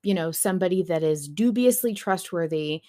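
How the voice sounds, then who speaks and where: A woman's voice: fairly low pitch, lively intonation, moderate pace, warm tone. A woman hosting a fan podcast in casual discussion, talking informally with the odd swear word. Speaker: podcast host.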